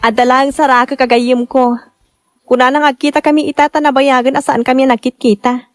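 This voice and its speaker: A high, close and dry Filipino female voice, acted by a woman. She is a cheating wife in an audio drama, flirtatious one way and defensively dismissive the other. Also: little pitch movement, brisk, no echo, soft background music, close to an everyday voice. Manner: dismissive, coy excuses.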